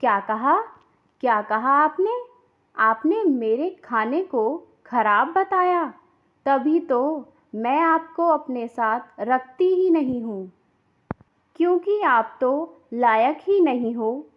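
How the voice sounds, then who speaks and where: A woman voicing a boastful, scornful rich daughter-in-law in a cartoon moral story, quick to take offence. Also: high voice, animated, lo-fi sound. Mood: offended.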